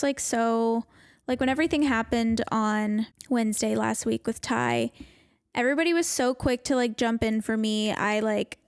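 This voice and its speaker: A young woman with a fairly high voice and a lot of vocal fry chats on a podcast, upbeat and full of "like"s. The sound is dry and close. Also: no echo. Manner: chatty, filler-heavy, upbeat. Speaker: podcast co-host. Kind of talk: casual chat.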